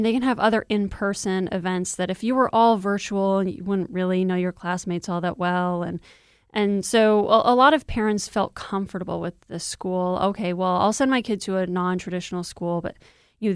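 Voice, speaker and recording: A woman's voice: medium pitch, fairly even intonation, normal speed, clear, resonant tone, dry recording, clean studio sound. A woman reporter explaining things step by step in an informal, conversational news discussion. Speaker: news reporter, interview guest.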